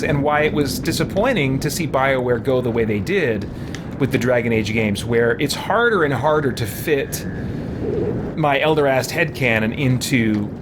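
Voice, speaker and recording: A man's voice: medium pitch, background noise. A man giving casual, rambling gameplay commentary, thinking aloud as he streams a role-playing game. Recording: game music behind the voice. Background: video game music.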